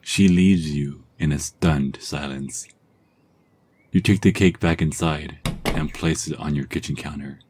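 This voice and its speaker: A man narrating a second-person ASMR story in a calm, soft-spoken, husky voice. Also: extremely low pitch, unhurried, no echo.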